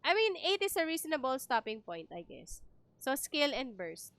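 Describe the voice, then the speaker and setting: High, bright Filipino woman streaming games. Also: sing-song, moderate pace, no echo. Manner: chatty, explanatory, switches between two languages. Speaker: game streamer. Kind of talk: casual livestream game commentary.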